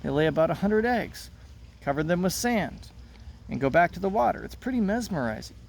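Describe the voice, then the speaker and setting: A man's voice: sits high, expressive, fairly quick, vocal fry, a little mellow, pitched above the performer's own voice. Man reading as a friendly, enthusiastic young girl. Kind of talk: read-aloud of a children's play. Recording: noticeable background noise.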